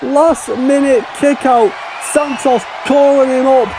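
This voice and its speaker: High-voiced man shouting wrestling commentary. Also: slow. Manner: excitable, often shouting over the action. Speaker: play-by-play commentator. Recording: loud crowd and noise behind.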